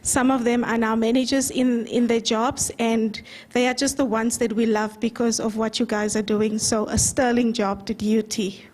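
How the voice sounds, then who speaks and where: A woman's voice: a little high, level tone, moderate pace, few pauses, darkish tone, slight background noise. A woman hosting a conference session who speaks to the audience in an encouraging way. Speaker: event host. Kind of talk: conference speech. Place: conference hall.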